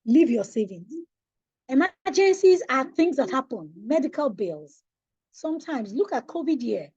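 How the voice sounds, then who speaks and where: A Nigerian woman leads a finance webinar, stressing key words like a preacher and putting questions to her audience. Her voice sits high and a little husky. The sound is dry and slightly dull, like online webinar audio. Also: sing-song, measured pace, dark tone, no echo. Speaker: financial coach. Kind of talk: webinar talk on personal finance.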